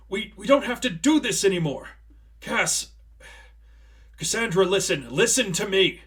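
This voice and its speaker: A man voices an anguished, kindly priest in a dramatic story reading. He speaks with earnest, protective emphasis, his pitch lifted and his delivery pushed, as an uncle who cares deeply.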